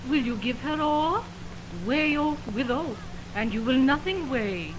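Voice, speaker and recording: A noisy, humming 1920s radio recording holds a high, slow female voice. a hurt, indignant young Shakespearean heroine with declamatory period delivery. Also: expressive. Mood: reproachful. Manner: theatrical, declamatory verse delivery. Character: Helena, hurt, indignant young woman, feeling mocked.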